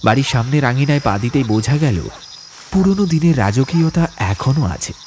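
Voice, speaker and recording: Expressive male horror story narrator. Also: mid-range voice, normal speed, slight background noise. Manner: suspenseful, expressive. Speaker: audiobook narrator.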